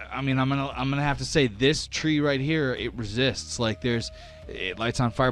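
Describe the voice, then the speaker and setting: A man's voice: lowish voice, a bit bright. A man narrating as game master of a tabletop game, explaining rules plainly and easygoingly with some fillers.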